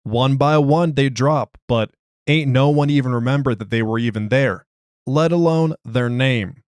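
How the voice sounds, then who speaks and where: A man's voice: medium pitch, unhurried, darkish tone, smooth, dry recording, close to an everyday voice. A man voicing an agitated, streetwise prisoner who argues his case in slangy, ungrammatical, exasperated speech. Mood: agitated, insistent. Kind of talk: dramatized interview log.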